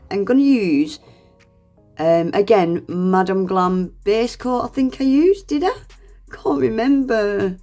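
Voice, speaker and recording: A woman's voice: mid-range voice, darkish tone. A woman, congested with a cold, chatting through a nail art tutorial voice-over in a friendly, self-deprecating way and laughing often.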